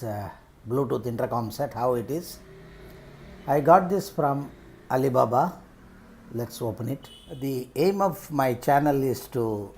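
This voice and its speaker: A middle-aged man with an Indian accent and a mid-range voice presents a product unboxing. He speaks off the cuff as a vlogger, setting out his points piece by piece in halting phrases. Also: medium pitch, darkish tone. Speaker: vlogger reviewing rider gear. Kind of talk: unscripted unboxing commentary.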